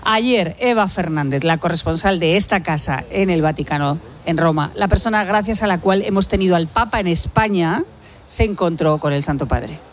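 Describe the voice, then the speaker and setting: A woman's voice: lowish voice, normal speed, a little hiss or hum. Woman presenting radio banter. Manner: teasing, ironic banter. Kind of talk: lively news chat.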